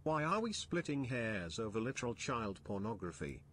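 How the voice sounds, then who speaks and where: A man's voice: medium pitch, very animated, moderate pace, a bit bright, a little hiss or hum. A man speaking firmly in an online video clip played back on a stream.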